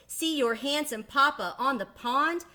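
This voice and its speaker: An encouraging storybook mother duck, voiced by a woman, calls out in a bright, lifted voice. She coaxes her little ones with clear emphasis and cheer. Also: high-pitched, a little slow, voice pushed up. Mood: cheerful coaxing. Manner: coaxing, emphatic. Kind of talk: children's storybook read-aloud.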